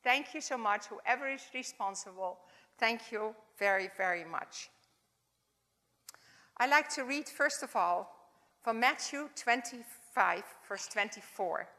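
A woman's voice: a little high, expressive. Older Dutch woman in a reverberant hall. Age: 70s. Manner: warm, halting, non-native phrasing. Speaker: chapel speaker. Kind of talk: informal chapel talk.

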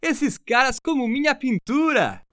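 A man's voice: high voice, big pitch swings, normal speed, no echo, studio quality, voice pushed up. A man voicing an amused, cocky Portuguese-speaking painter who works as a janitor in a narrated story. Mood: amused, casual. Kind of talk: character dialogue in a narrated story.

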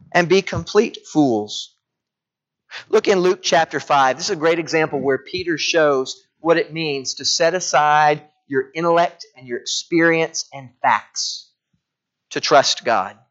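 A man's voice: sits high, fairly bright tone. A man preaching a sermon as a pastor, telling personal stories and asking the congregation rhetorical questions. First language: English. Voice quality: conversational storytelling with rhetorical questions. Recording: dull lo-fi recording.

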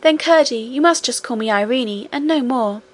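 A woman's voice: on the high side, normal speed, lightly acted. A woman voicing an innocent, stubborn little princess in a storybook, speaking with earnest, childlike politeness. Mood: curious. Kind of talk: storybook character reading.

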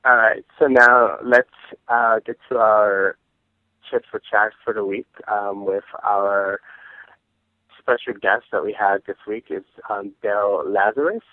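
A man's voice: on the low side, fairly even intonation, measured pace, no room sound. A man co-hosting a podcast, chatting casually in a hesitant way, with frequent ums. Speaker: podcast co-host. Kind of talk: casual chat. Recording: dry, tinny phone-like line.

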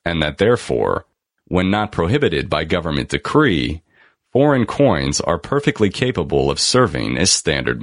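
A man reading a scripted article aloud as a narrator, in a measured, deliberate and formal manner with emphatic phrasing. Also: sits low, no room sound, clean studio sound.

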